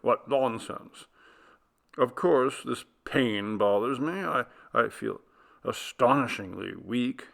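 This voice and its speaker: A man voicing a frightened, anguished man in a ghost story audiobook, speaking slowly and haltingly. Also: creaky, a bit bright. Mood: shaken protest. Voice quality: breaking, low, trembling voice. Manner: halting, slow, haunted. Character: anguished, frightened man confessing a dreadful secret.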